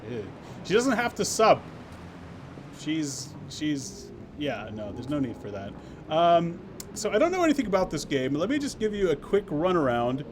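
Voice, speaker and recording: Higher-voiced man live streaming, noisy background. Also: big pitch swings, moderate pace. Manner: playful, chatty, self-interrupting banter with viewers. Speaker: game streamer, host. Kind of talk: casual live stream chat.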